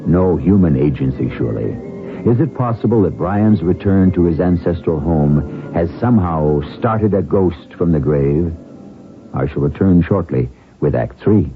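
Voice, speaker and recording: A man's voice: sits low, animated, moderate pace. A man hosting a 1970s radio mystery drama in a theatrical, portentous manner. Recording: muffled 1970s radio broadcast with music.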